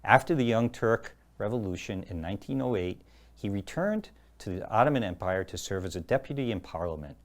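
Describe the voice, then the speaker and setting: Low-voiced man hosting a heritage show. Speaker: host. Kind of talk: scripted presentation and interview.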